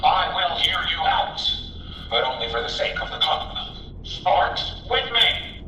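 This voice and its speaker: A man's voice: a little high, big pitch swings, a little slow, pushed and bright, roomy sound, recorded from a distance, highs cut off, slight background noise, exaggerated voice. A man voicing a pompous, theatrical masked robot-master villain in a video game. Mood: grandiose, theatrical. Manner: pompous, formal declamation.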